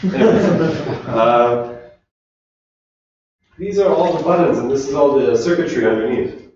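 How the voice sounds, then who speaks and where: A man from Finland giving a relaxed technical talk to a meetup audience, chatting with the crowd. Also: on the high side, unhurried, a little hiss or hum. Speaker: conference speaker. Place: large echoey meetup room. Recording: distant, roomy, dull room recording.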